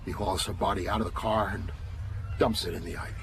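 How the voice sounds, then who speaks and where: An actor playing a hard-nosed, middle-aged retired homicide detective, blunt and matter-of-fact in a radio drama. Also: male voice, sits low, steady tone, fast, background noise, lightly acted. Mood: grim, matter-of-fact.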